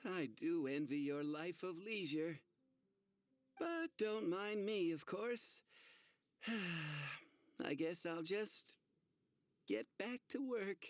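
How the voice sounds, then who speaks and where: A man's voice: high-pitched, sing-song, extremely slow, pauses often, dry recording, full character voice. A man playing a polite, discreet tavern bartender in a video game, articulate and drily courteous. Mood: wistful. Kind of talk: video game character acting. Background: faint music.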